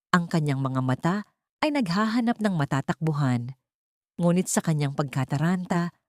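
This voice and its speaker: A woman's voice: on the low side, a little mellow, no room sound, clean studio sound. A woman narrating a scripted dramatic audiobook story.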